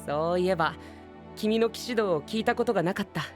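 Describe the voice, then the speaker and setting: A man playing a refined, earnest young gentleman knight with formal speech and English interjections in an anime voice drama. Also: sits high, brisk, over music, natural voice. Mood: admiring.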